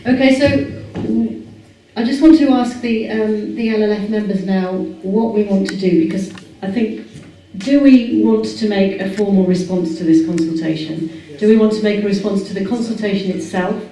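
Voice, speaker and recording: A woman chairs a public meeting, pausing often with fillers and putting questions to the room. Her voice is mellow and dark. She is picked up from across a large, echoey hall. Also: mid-range voice, a little hiss or hum. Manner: hesitant, many fillers, asks the room questions. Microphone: far camera microphone. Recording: echoey hall recorded from far off.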